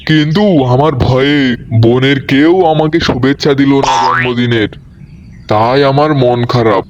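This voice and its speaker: A man's voice: mid-range voice, fairly even intonation, normal speed, natural voice. A man voicing a gloomy, lonely tiger uncle in a children's cartoon. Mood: sad. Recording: dull lo-fi with faint noise.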